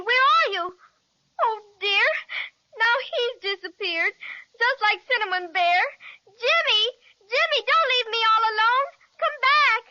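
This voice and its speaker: A very high-voiced little girl, acted by a woman, sweet and timid. She talks in an earnest, plaintive way with a bright edge, as in a children's radio serial, recorded close and dry. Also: dry recording, dull audio, heavily acted. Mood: frightened, lonely.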